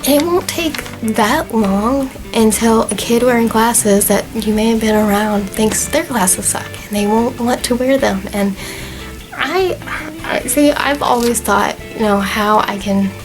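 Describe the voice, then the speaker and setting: Twenties girl vlogging, kinda high voice going a bit hoarse, earnest and chatty, music playing in the back. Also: a little slow, rough recording. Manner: chatty and earnest, with frequent fillers. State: slightly hoarse, losing her voice. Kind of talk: casual talk to camera.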